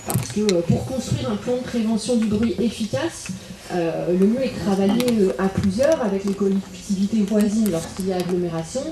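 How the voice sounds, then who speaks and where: A woman speaking as an invited regional councillor at a public political meeting, in halting phrases with many filled pauses. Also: medium pitch. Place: indoor public meeting room. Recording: roomy echo, audible background noise.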